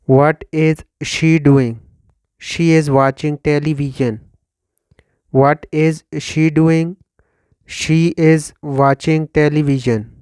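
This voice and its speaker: A man in his thirties from Pakistan with a Pakistani accent and Urdu as his first language, teaching English by slowly reading questions and answers and repeating each one for learners. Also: fairly high pitch, fairly even intonation, darkish tone, smooth, no room sound, clean studio sound. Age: 30s. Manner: slow, deliberate, repeats each line for learners. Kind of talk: slow scripted question-and-answer drill.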